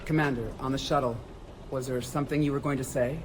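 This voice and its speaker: An actor playing a stern, noble alien clan leader in a video game, speaking with weight and resolve. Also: male voice, mid-range voice, fairly even intonation, quick pace, background noise, natural voice. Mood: reflective, grave. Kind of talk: video game character acting.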